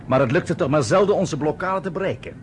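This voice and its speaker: Actor as sardonic, drawling military aide. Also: male voice, a little high, fairly quick, a little hiss or hum, natural voice. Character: sardonic male British military aide, mocking yet deferential. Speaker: actor in a radio drama. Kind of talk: Dutch radio play acting.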